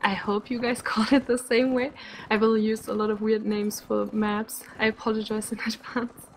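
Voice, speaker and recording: A woman commentating a live online game match, chatty and quick to laugh, sounding a little sick. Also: fairly high pitch, level tone, slight background noise. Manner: chatty, laughs often, uses "like" a lot. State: sick, slightly rough voice. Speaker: esports commentator.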